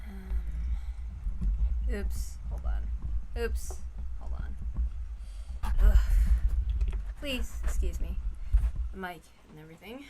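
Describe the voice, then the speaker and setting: A woman's voice: mid-range voice, expressive, very slow, long pauses, heavy background noise. A woman reading a story aloud as an amateur narrator, informal and given to apologetic, self-interrupting asides.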